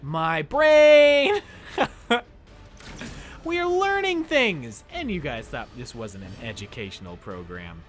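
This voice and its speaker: Young man, lively voice, headset mic, faint game music behind. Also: on the high side, takes it slowly. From United States. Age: young adult. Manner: chatty, animated, talks to chat while playing. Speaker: streamer. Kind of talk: casual gameplay commentary with chat. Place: small room indoors.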